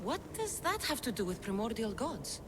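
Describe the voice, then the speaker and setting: A woman's voice: fairly high pitch, very animated, moderate pace, big-room sound, noisy background. An actress playing a bold, determined female adventurer who asks direct questions. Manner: direct and inquisitive. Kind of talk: game cutscene acting.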